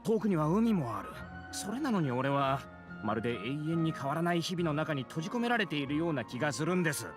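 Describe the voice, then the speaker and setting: A weary working family man from a fantasy video game. He complains earnestly at a very rapid pace, with a lively pitch, and music plays clearly behind him. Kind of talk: Japanese video game voice acting.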